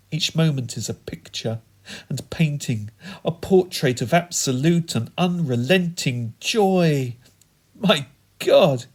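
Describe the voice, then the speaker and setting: A man performing comic spoken-word poetry in a theatrical, whimsical and deliberate manner. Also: a little high, darkish tone. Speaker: performance poet.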